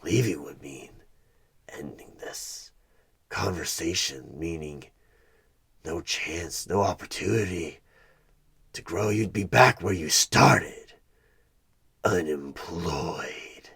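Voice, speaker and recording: Sinister devil-as-recruiter for horror ASMR: extremely low, husky and breathy, menacingly persuasive, often sinking into whispers. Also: male voice, sharp tone, full character voice. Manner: slow, menacing, persuasive, drawn-out pauses. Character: sinister devilish male tempter recruiting as job interviewer. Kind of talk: horror ASMR one-sided roleplay.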